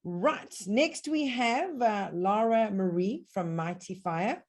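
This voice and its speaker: A woman's voice: mid-range voice, expressive, takes it slowly, a little mellow. Woman hosting an online event, friendly, introducing speakers. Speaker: event host.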